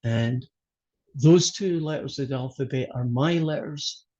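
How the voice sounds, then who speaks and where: A man's voice: medium pitch, measured pace, dark tone, muffled recording. A Scottish man, a college math instructor, introducing his course over a video call in a chatty, joking way. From Scotland. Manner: chatty, joking, loose with fillers. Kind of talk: online class introduction.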